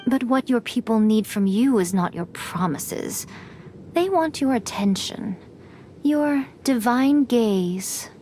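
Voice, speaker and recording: A woman voicing a sly, teasing, centuries-old fox envoy in a video game, speaking in a measured, theatrical way. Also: fairly high pitch, expressive, warm tone, slight background noise. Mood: pointed, persuasive. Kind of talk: video game cutscene voice acting.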